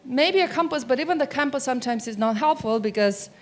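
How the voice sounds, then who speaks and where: A woman's voice: sits high, animated, brisk. Chatty middle-aged woman giving a keynote. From Romania. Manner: chatty, asks the audience questions. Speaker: keynote speaker. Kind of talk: conference keynote talk. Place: stage of a large conference hall. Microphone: mic on stage.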